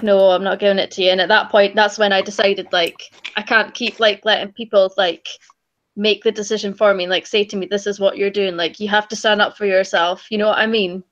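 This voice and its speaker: A young woman, retelling her experience firmly on a video call from her bedroom, full of "like". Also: mid-range voice. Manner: animated retelling with lots of "like", firm. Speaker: guest host. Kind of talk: casual video-call chat. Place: bedroom at home. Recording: slightly dull video-call audio.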